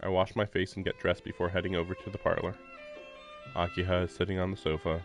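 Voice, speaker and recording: A man's voice: sits low, level tone, fast. A man live streaming a visual novel, reading its text aloud with casual, informal commentary. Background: faint game music.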